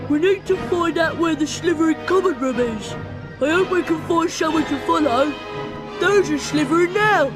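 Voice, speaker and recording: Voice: very high-pitched, moderate pace, music in the background. An actor playing an earnest, worried schoolboy wizard hero in a video game. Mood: urgent.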